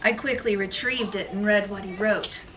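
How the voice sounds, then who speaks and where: A woman teacher giving an animated, expressive children's read-aloud, pausing to explain things, recorded from a distance in a classroom. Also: mid-range voice. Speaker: elementary school teacher reading aloud. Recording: noisy classroom, distant mic.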